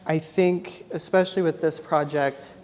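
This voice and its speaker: Soft-spoken young man, hall reverb. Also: on the high side, steady tone, a little slow. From Idaho, US. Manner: soft-spoken, many ums. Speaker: photographer giving an artist talk. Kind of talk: informal gallery talk. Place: gallery.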